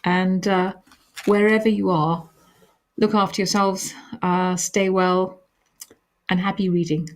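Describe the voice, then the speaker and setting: A woman with a calming voice hosting a live question-and-answer stream, reading questions aloud and answering them with gentle hesitations. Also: mid-range voice, slow pace, long pauses. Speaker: author hosting a live reader Q&A.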